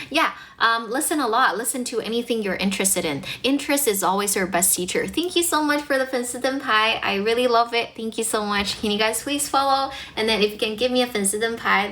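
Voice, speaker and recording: A young Chinese woman with a North American-tinged accent, hosting a casual English livestream, chatty and quick, laughing often and mixing in Chinese. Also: a little high, fairly bright tone. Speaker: English-speaking livestream host. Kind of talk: casual livestream chat with viewers.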